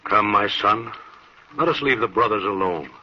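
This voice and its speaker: An actor playing a calm, fatherly clergyman in an old-time radio drama, speaking in a measured, solemn way. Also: lowish voice. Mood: solemn, gentle. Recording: muffled, hissy old radio recording.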